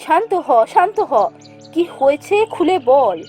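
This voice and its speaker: A woman's voice: sits high, sing-song, measured pace, dark tone, soft background music. A woman voicing a kindly, motherly mistress of a landlord's house in a cartoon fairy tale, soothing and familiar.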